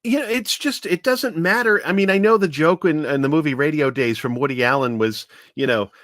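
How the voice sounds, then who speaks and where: A man's voice: fairly high pitch, fairly quick, a bit bright, dry recording. A man co-hosting an online show, chatting casually and telling anecdotes in a conversational way on a close microphone. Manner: conversational, acts out quoted lines. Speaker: co-host and commentator.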